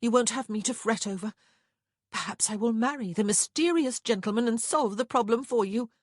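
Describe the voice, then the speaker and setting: Narrator doing a defiant young lady, dramatic and pointed, lifted husky female voice, period storybook. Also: fairly high pitch, expressive, normal speed, dry recording, clean studio sound, pitched above the performer's own voice. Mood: bitter, defiant. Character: spirited, defiant upper-class young woman.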